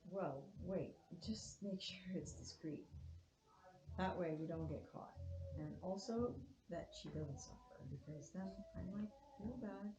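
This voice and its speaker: A woman's voice: fairly low pitch, unhurried, over music, lightly acted. A woman playing a put-upon niece and anxious, compliant secret lover, hesitant, in a table read of a film script. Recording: echoey room with people around.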